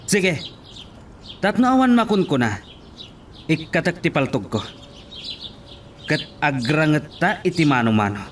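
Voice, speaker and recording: A man's voice: on the high side, very animated, unhurried, long pauses, distant mic, slight background noise. A man with an Ilocano accent playing a stubborn, defiant young police lieutenant in a radio drama. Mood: defiant, angry.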